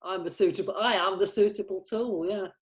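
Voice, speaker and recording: A woman talking casually and haltingly as she organises a script table read, a little tentative. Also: medium pitch, steady tone. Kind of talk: casual meeting chat.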